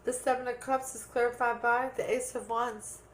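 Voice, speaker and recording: A woman's voice: fairly high pitch, flat delivery, normal speed, a little hiss or hum. Earnest woman giving a tarot reading. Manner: earnest, repeats points with "okay?". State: losing her voice. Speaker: tarot reader. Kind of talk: tarot reading, addressing viewers.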